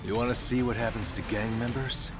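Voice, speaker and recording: A man's voice: mid-range voice, fairly even intonation, brisk, close to an everyday voice. An actor in his thirties playing a tough, street-smart male detective in an English game dub, wry, confident and threatening. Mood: cool menace. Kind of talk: English video game dub cutscene acting. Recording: music under the voice.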